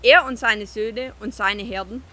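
Bright, questioning village woman. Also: a little high, measured pace. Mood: surprised, doubtful. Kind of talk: scripted audio drama of a Bible story.

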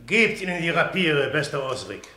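A smooth villain king, ceremonious radio drama, swoopy intonation, roomy echo. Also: a little high, big pitch swings, moderate pace, bright, forceful tone, faint music behind. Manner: ceremonious, commanding. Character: scheming villainous king, outwardly gracious, commanding. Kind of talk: classic radio play acting of stage tragedy.

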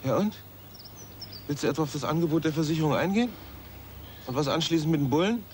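An actor playing a stubborn grown son mixed up in crime, insistent and placating, in an audio drama. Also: male voice, fairly high pitch, animated, quick pace, darkish tone, slight background noise, natural voice. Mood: impatient. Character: stubborn grown son, reassuring small-time crook. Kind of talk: German audio drama acting.

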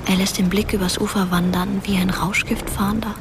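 Hushed, somber actress as a ghostly girl. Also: medium pitch, level tone, moderate pace, noisy background, lightly acted. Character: dead teenage girl, detached, somber observer of her friend. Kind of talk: scripted radio drama narration. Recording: music bed behind the voice. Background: loud atmospheric music.

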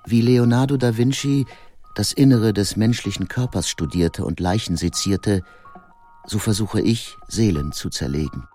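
A man's voice: lowish voice, dark tone, soft background music, lightly acted. A man reading as a brooding older painter. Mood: reflective. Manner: measured first-person reading. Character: aging male painter, brooding and introspective. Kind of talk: quoted reading in a documentary.

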